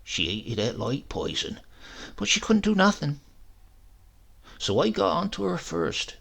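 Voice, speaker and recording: Man voicing a boastful old uncle, swooping pitch. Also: wildly swooping pitch, unhurried, vocal fry, a bit bright, full character voice. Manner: boastful, sly, savouring each phrase. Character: boastful old rural English uncle telling tall tales.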